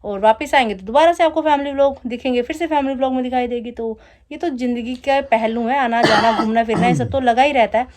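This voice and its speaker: A woman's voice: a little high, fast talker, darkish tone. Chatty woman vlogging casually at home. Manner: chatty and laughing, teasing her husband. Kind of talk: casual vlog chat. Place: home at night, DJ music playing outside.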